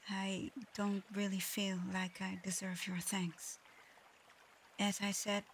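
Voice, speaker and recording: A woman voicing a proud but conflicted dragon girl in human form for an ASMR audio roleplay, soft-spoken, hesitant and earnest. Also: medium pitch, a little hiss or hum. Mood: flustered, shy.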